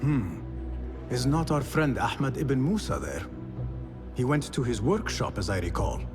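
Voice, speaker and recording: An earnest young assassin, lively pitch. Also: male voice, measured pace, over music. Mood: calm, respectful. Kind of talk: video game cutscene acting.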